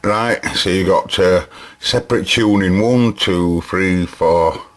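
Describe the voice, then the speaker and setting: A middle-aged Northern English man with a low voice talks through a piece of technical work in an informal way. He rambles in a chatty fashion, often putting questions to himself and answering them as he goes. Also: slow. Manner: chatty, rambling, self-questioning, chuckling asides. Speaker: vintage radio repair hobbyist and presenter. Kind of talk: informal hands-on technical walkthrough.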